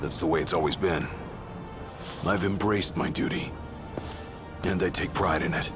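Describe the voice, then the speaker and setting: An actor playing a stern, loyal royal bodyguard in a video game, talking bluntly and commandingly. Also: male voice, deep voice, fairly even intonation, normal speed, lightly acted. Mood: earnest, firm. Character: gruff loyal royal bodyguard, stern big-brother warrior. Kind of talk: dramatic video game acting. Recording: loud game music behind the voice.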